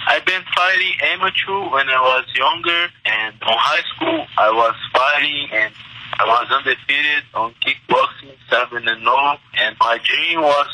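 A young man from Brazil with a Brazilian Portuguese accent, a native Portuguese speaker, answering interview questions in halting English with frequent restarts. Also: medium pitch, pushed and bright, low-quality recording. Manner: halting, restarts in second language. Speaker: interview guest, MMA fighter.

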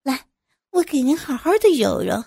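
一个女人在有声故事里演绎一名年轻成年下属，语气殷勤、恭顺，一味讨好。. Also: female voice, sits high, unhurried, a little mellow, no echo, clean studio sound, voice pushed up. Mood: concerned, fawning. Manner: ingratiating, solicitous. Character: young adult subordinate, eager, fawning and deferential. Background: music.

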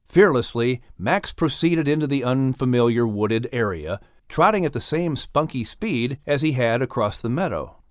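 A male audiobook narrator with a mid-pitched voice tells a children's story. His delivery is animated and dramatic, with careful phrasing and a dry studio sound. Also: normal speed, dry recording. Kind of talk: expressive scripted storybook narration.

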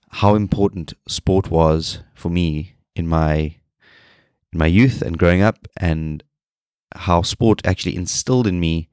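A man in his thirties hosting a solo podcast, sounding nasal from a cold and talking in a low-energy, rambling way. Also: darkish tone, no echo, clean studio sound. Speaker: podcast host.

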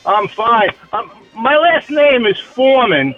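A man calls in to a radio show over a tinny phone line. His voice sits high, and he explains in a friendly, patient way. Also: expressive, a little slow, faint music behind. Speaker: radio caller. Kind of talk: phone-in call. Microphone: telephone.

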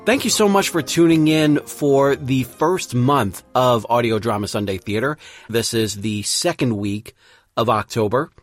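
A man's voice: medium pitch, no room sound. Man, mid-range voice, podcast host, enthusiastic show introduction, emphatic phrasing, dry close sound. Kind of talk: semi-scripted show introduction.

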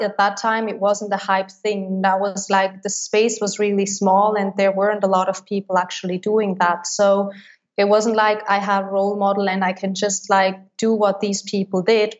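Interview guest: woman, steady flat delivery, muffled lo-fi capture. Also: medium pitch, normal speed. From Switzerland. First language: Swiss German. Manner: fluent, many likes and restarts. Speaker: business coach, interview guest. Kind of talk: casual interview answers.

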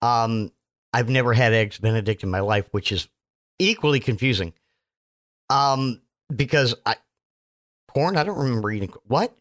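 A man hosting his own podcast, his voice still hoarse from illness, rambling casually with lots of fillers and self-mocking asides. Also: medium pitch, lively intonation, normal speed, pauses often, a bit bright. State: hoarse, recovering voice. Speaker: podcast host. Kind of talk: casual solo podcast monologue.

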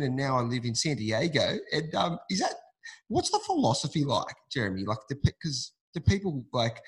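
A man's voice: medium pitch, breathy edge. A man hosting a podcast, asking rambling, chatty questions full of restarts. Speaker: podcast host. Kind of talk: casual interview talk.

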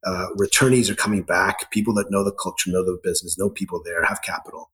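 Deep-voiced man, halting and filler-heavy. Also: steady tone, a bit bright, rough recording. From Ecuador. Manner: halting, many fillers and restarts. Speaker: business founder guest. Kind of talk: podcast interview answers.

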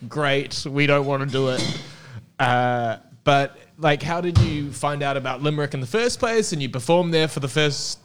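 Smooth-voiced man co-hosting. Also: mid-range voice, fairly bright tone. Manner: easygoing, asks questions. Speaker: podcast co-host. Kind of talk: casual podcast chat.

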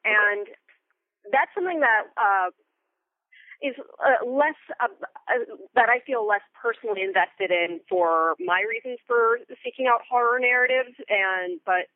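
An American woman in her thirties answering interview questions in a thoughtful, articulate, conversational way, with deliberate emphasis on key words. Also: a little high, no room sound. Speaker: interview guest, theater company member and Foley artist. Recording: thin, phone-like remote line.

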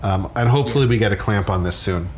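An American man talking casually as an expert guest, thinking aloud in a halting way. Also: on the low side, slight background noise. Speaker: sleep scientist, regular podcast guest. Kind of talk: casual interview talk.

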